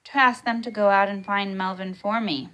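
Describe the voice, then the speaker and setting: A woman's voice: mid-range voice, steady tone, normal speed, a bit bright, close to an everyday voice. A woman voicing a kindly old grandfather, telling a childhood tale with emphasis in a storybook read-aloud. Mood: dramatic.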